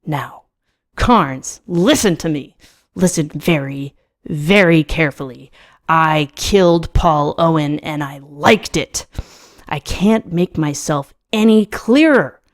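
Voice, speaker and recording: A woman's voice: deep voice, slow. A woman voicing a desperate, sneering young man in an audiobook, with insistent, emphatic delivery. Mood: desperate insistence.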